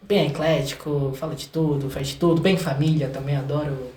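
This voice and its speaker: A man's voice: on the high side, steady tone, brisk, a little mellow. Chatty young Brazilian man, echoey room. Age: young adult. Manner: chatty, informal, slangy, self-joking. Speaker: guest, singer-songwriter. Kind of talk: casual interview chat.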